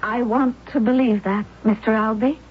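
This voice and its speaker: A woman's voice: a little high, fairly even intonation, moderate pace, slightly rough. An actress playing a young wife who narrates her own scheme, hesitant and wistful, in a 1970s radio drama. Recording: dull old radio recording with music.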